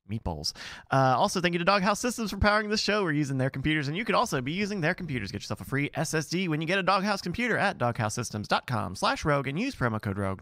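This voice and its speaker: A man delivers a scripted sponsor read as an announcer. His pace is brisk and his pitch moves up and down in a lively way. The recording is dry and close. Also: no room sound.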